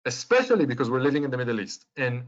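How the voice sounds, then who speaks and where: Guy lecturing students, lots of ums, middle pitch, kinda muffled lo-fi audio. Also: big pitch swings. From Israel. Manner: conversational, pausing often with ums and restarts. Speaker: lecturer and podcast co-host. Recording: dull lo-fi recording.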